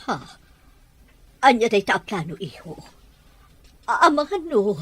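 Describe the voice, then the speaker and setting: A woman's voice: fairly high pitch, very animated, measured pace, slightly rough, full character voice. An actress with an Ilocano accent playing a worried, devoted mother of a grown son in a radio drama. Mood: anxious, pleading.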